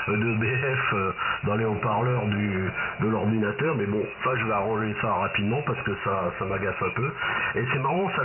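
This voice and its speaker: A man from France with a deep voice, chatting casually as he makes a test transmission on amateur radio. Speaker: amateur radio operator testing his microphone. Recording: noisy, narrow shortwave radio transmission.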